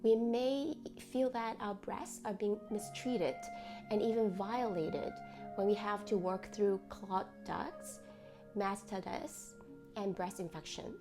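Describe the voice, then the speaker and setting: A woman leading a guided meditation in a gentle, deliberate, reassuring manner, reading as a host from a script. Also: medium pitch. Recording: music under the voice. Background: music.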